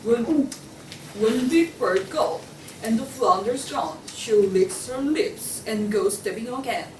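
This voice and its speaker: A woman's voice: fairly high pitch, slow, darkish tone. A young woman reading scripted narration as a student narrator at a play table read. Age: young adult. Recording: roomy, distant mic, faint noise.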